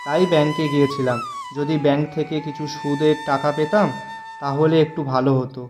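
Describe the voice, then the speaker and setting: A man voicing an honest, gentle, struggling village shopkeeper in a cartoon, earnest and pleading in delivery. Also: fairly high pitch, flat delivery, fairly quick, over music, close to an everyday voice. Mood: weary. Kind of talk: cartoon voice acting.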